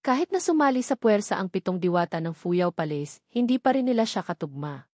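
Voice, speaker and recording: A woman's voice: medium pitch, sing-song, a little fast, darkish tone, dry recording, studio quality. A woman reading scripted fantasy novel narration as an audiobook narrator.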